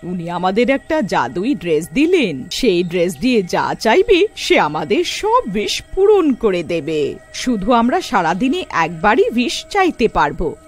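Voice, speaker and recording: A woman's voice: medium pitch, sing-song, measured pace, faint music behind. A woman playing a caring, motherly witch wife in a cartoon story. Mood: pleased.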